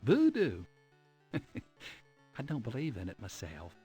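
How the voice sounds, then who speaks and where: A man's voice: mid-range voice, wildly swooping pitch, slow, mellow, soft background music. An actor playing a chatty, easygoing male bartender in an adventure game, in an amused and talkative manner.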